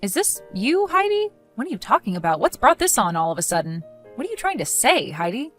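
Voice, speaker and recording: A woman playing a level-headed, quietly fed-up young woman with a dry, matter-of-fact manner in a dramatized story. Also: a little high, very animated, fairly quick, faint music behind. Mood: puzzled. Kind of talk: dramatized text-message story voice acting.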